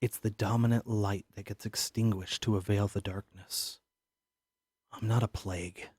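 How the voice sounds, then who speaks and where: An actor playing a haunted, paranoid man who narrates his own mystery in an audio drama, brooding and deliberate, with a husky voice. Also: lowish voice, fairly even intonation, a little mellow, no echo, clean studio sound. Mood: grim, defiant.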